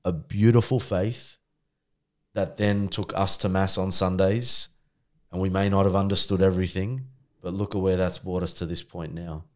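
A man co-hosting a podcast, speaking in a measured, earnest and reflective way. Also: deep voice, fairly even intonation. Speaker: podcast co-host. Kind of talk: casual conversation.

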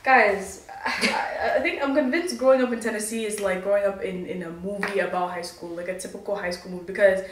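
A young woman from Massachusetts, co-hosting a podcast in a relaxed, chatty way. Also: mid-range voice, fairly quick, hardly any pauses, roomy sound, distant mic, a little hiss or hum, rough recording. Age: young adult. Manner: laid-back, laughing reactions. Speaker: podcast co-host. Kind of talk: casual chat. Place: living room, on a green couch.

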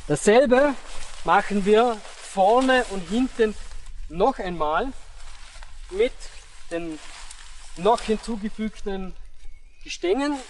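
A young man giving step-by-step instructions on pitching a tent in an outdoor meadow, pausing with fillers as he works. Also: animated, slight background noise. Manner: explains step by step with pauses and fillers. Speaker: product demonstrator.

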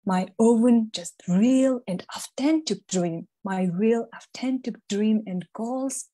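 A woman from Mongolia gives an impromptu speech, halting and repeating words, often adding tag questions. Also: medium pitch, mellow. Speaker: club member giving an impromptu speech.

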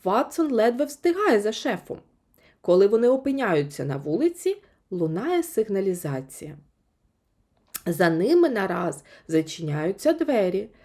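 Lady reading a kids story, super expressive, pitch goes up and down a lot. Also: a little slow. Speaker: storyteller for children. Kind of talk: expressive scripted audiobook narration.

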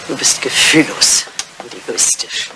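An older woman acting a proud, candid elderly English lady in a radio play, brisk, genteel and outspoken. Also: close to an everyday voice. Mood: reproachful. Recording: slightly noisy old radio play recording.

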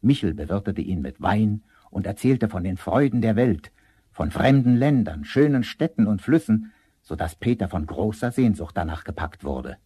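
Low, husky male storyteller. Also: very animated, moderate pace, warm tone, dry recording. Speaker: narrator. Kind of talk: scripted fairy-tale narration.

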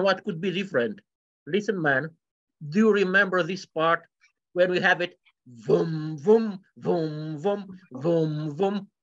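A man's voice: a little high, fairly bright tone. A middle-aged man, a co-host, telling a joke with hesitant restarts over a video call. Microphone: video call webcam. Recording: distant dull video call audio.

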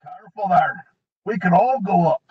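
A jittery dwarf thief, as a man puts him on for an audiobook, trying to smooth things over in a raised, husky voice. The recording is dull and lo-fi. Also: sits high, expressive, normal speed, pitched above the performer's own voice, heavily acted. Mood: nervous. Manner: nervous, ingratiating. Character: nervous male dwarf thief, placating.

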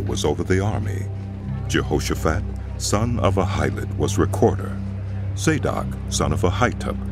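A man narrating a dramatized scripture audiobook in a solemn, deliberate storytelling style. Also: rumbling low, creaky. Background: music.

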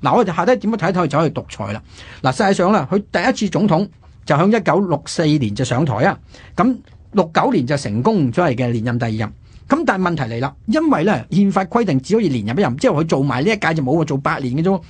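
A man's voice: a little high, very animated, quick pace, breathy edge. A male radio host with a Hong Kong Cantonese accent, telling history conversationally and emphatically with rhetorical questions.

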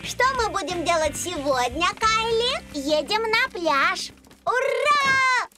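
A woman voicing an excitable, curious little girl animal in a dubbed children's cartoon, bubbly and childlike. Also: extremely high pitch, takes it slowly, exaggerated voice. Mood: curious, excited. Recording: loud music bed behind voice.

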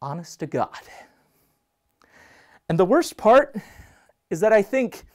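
Man acting an exasperated, halting comic storyteller. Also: high voice, very animated, heavily acted. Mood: incredulous. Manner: conversational, halting, incredulous. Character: exasperated man recounting an annoying houseguest's antics. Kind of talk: theatrical comic monologue.

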